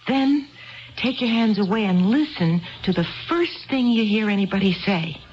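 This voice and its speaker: An anxious, self-doubting married woman played by a radio actress from a 1970s drama. Her voice sits at an ordinary female pitch and she talks in hesitant, rambling runs. The sound is dull, like an old broadcast. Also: animated, moderate pace, slight background noise, natural voice. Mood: earnest, uncertain. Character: anxious, indecisive, chatty neglected married woman.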